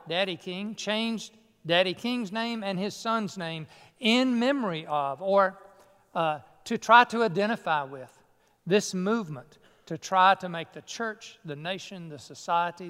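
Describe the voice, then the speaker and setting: A man pastor preaches in a measured, emphatic way. His voice is high and resonant. It carries with a long reverb through a church sanctuary. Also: fairly bright tone. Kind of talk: sermon. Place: church sanctuary near Atlanta.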